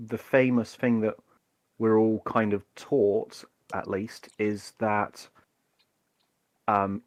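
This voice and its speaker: Slow-talking low-voiced British man. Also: no echo. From United Kingdom. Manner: unhurried, hesitant, many ums and uhs. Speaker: podcast co-host. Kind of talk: casual chat.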